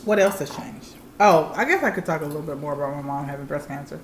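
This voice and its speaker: A woman's voice: sits low, fast talker, echoey room, a little hiss or hum. A woman in her thirties chatting candidly and explaining things plainly to viewers as a channel co-host. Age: 30s. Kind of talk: casual chat.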